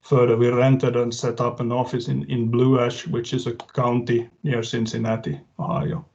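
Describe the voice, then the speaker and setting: A man's voice: mid-range voice, monotone, moderate pace, a little mellow. A man giving a prepared case-study talk on a webinar, reading steadily with small restarts. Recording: dull lo-fi video-call audio.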